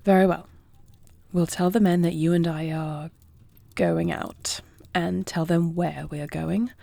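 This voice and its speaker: A woman's voice: on the low side, fairly even intonation, measured pace, gravelly, mellow, no echo. A woman playing a proud, dutiful young-married warrior princess who can shift into a dragon, speaking formally in a scripted audio roleplay. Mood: conciliatory, earnest. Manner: formal, regal phrasing. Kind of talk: scripted audio roleplay acting, one side of a dialogue.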